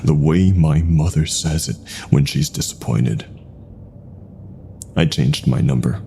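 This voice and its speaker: A man narrating a scripted horror story with deliberate pauses, his phrases sometimes trailing into hushed breath. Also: a bass voice, vocal fry, a little hiss or hum.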